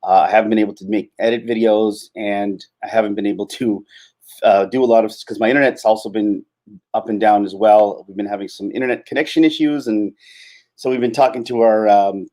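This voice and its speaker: A man hosting a solo livestream in casual chat, rambling and halting as he thinks aloud. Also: lowish voice, steady tone, mellow. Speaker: livestream host.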